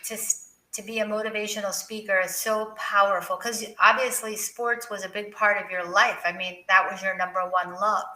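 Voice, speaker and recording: Bright-voiced woman hosting, roomy sound. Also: mid-range voice, level tone, moderate pace. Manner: effusive, encouraging. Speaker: interview show host. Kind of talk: live interview, reading viewer comments.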